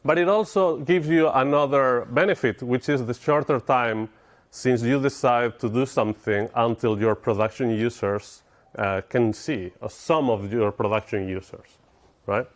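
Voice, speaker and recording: A man's voice: medium pitch, measured pace. A middle-aged man with a Spanish accent, giving an informal technical talk to an audience in a conference room. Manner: informal, explanatory, addresses audience with questions. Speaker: conference speaker.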